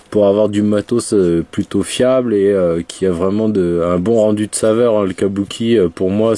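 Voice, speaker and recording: Low mellow-voiced Frenchman with a cold. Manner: rambling, many fillers and restarts. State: has a cold, congested. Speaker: vape reviewer. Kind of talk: casual product review vlog.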